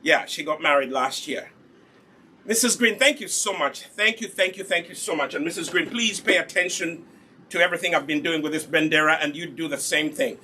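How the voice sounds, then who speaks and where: A man's voice: a little high, a little fast, pushed and bright, slight background noise. A man from Uganda with a Ugandan accent, hosting a casual live hair care demonstration in an instructive way, repeating key points. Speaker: host, natural hair product seller and demonstrator.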